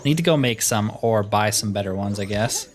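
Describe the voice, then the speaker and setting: A man's voice: fairly low pitch, lively intonation, moderate pace, soft background music. A man giving casual, wry commentary as he plays through a video game, often with dry humor. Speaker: gaming video host.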